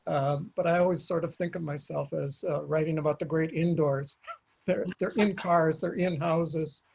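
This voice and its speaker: An older man, an author, speaking in a panel conversation in a halting, reflective way with frequent pauses. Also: a little high, fairly even intonation, creaky, darkish tone, slight background noise. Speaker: author guest. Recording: dull lo-fi audio.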